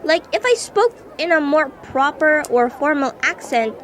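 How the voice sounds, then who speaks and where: A woman acts as a skeptical female classmate in a radio drama. Her high voice is informal and questioning, with blunt casual phrasing. Clear outdoor city noise and vehicles run behind her. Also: animated, a little slow. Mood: incredulous. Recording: noisy street ambience with vehicles. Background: outdoor urban noise, vehicles.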